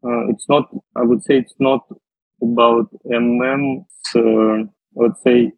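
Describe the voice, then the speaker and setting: A man with a Russian accent giving halting, hesitant answers as an interview guest. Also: mid-range voice, flat delivery, creaky. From Russia, western Siberia. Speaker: podcast guest, data scientist. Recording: muffled phone-like line, roomy echo.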